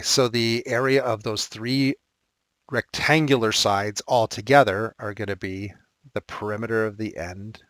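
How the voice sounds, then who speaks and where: A man teaching a recorded math lesson, thinking aloud and correcting himself as he works problems, his voice slightly raspy. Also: fairly low pitch, pushed and bright, dry recording.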